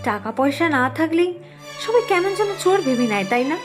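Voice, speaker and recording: Cartoon role: woman as young bride-to-be, hesitant, respectful, high pitch over music. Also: big pitch swings, a little fast. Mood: concerned, sheepish. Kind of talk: cartoon voice acting.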